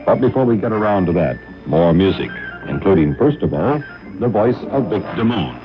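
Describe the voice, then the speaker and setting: A man's voice: sits low, big pitch swings, normal speed, over music. An American man hosting an informal radio show on an old shortwave broadcast. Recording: dull lo-fi 1960s shortwave radio.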